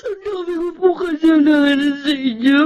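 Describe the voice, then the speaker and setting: Radio drama schoolboy, adult actor: Filipino, impulsive yet remorseful, very high youthful pitch, halting and emotional. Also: a little mellow, natural voice. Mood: tearful, remorseful. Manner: emotional, stammering when confronted. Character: troubled adopted schoolboy, impulsive but remorseful.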